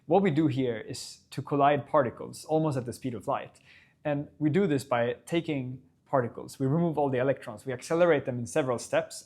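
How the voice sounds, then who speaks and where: A man in his twenties from northern Sweden, a physics PhD student, calmly explaining his research in an interview. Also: medium pitch, no room sound. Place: lab building at a physics facility.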